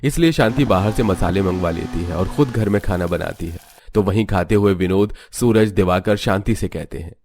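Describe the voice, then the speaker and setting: A man's voice: low voice, normal speed, a little mellow, a little hiss or hum. A man narrating a scripted family cartoon story as its storyteller.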